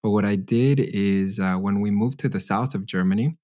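A man's voice: on the low side, normal speed, dark tone. A man from Canada hosting a podcast, upbeat and curious as he asks his guest questions. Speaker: podcast host. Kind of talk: casual interview chat.

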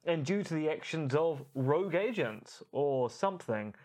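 Animated, wry man with a higher voice. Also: moderate pace. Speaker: podcast co-host. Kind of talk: podcast commentary.

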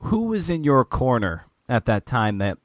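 A man's voice: mid-range voice, very animated, normal speed, no room sound, studio quality. A man hosting a podcast interview, asking long, searching questions full of restarts and fillers. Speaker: podcast host.

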